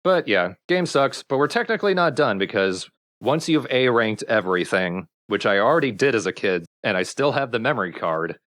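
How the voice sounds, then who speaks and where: A man's voice: mid-range voice, lively intonation, moderate pace, fairly bright tone, no room sound. A man hosting a let's play, chatting casually about games in a wry, bluntly critical way. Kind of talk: casual gaming commentary with friends.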